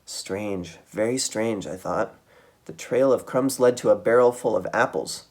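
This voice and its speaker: A man reading a children's book aloud as a storytime reader, with dramatic, expressive delivery. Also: on the low side, unhurried.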